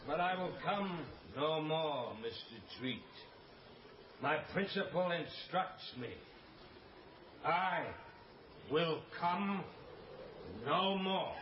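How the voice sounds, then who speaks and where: An actor playing a sinister cloaked stranger in a radio drama, speaking with ominous, portentous menace. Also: male voice, fairly high pitch, extremely slow, pauses often. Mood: cold menace. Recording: dull, echoey, distant, some noise.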